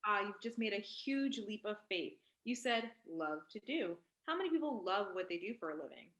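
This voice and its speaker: A woman playing an insistent doctor who questions a talk-show host in a staged play reading, in pointed, deliberate phrases. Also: mid-range voice, big pitch swings, fairly bright tone. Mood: probing. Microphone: video call microphone. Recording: echoey room on video call.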